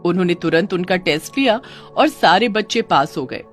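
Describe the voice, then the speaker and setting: A woman's voice: fairly low pitch, animated, normal speed, faint music behind. A woman reading scripted narration for a children's moral story.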